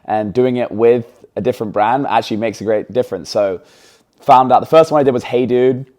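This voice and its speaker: A man's voice: medium pitch, brisk, warm tone. A man in his twenties, originally from England, speaking with an accent that blends English and American as a guest in a casual interview. He talks in an upbeat, chatty way with lots of fillers. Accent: mix of English and American. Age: 20s.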